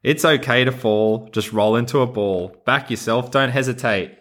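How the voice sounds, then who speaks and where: A man's voice: mid-range voice, moderate pace, a bit bright. An Australian man who hosts a podcast, talking casually and reading a children's storybook in a playful, encouraging way. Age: adult. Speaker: podcast host.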